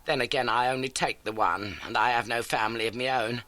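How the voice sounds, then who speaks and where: A man's voice: mid-range voice, steady tone, brisk, sharp tone, dry recording, voice pushed up, lightly acted. A man voicing an elderly, motherly working-class landlady in an audiobook, garrulous, earnest and folksy. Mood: chatty, earnest. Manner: chatty, folksy, dramatic storytelling. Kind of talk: audiobook character acting.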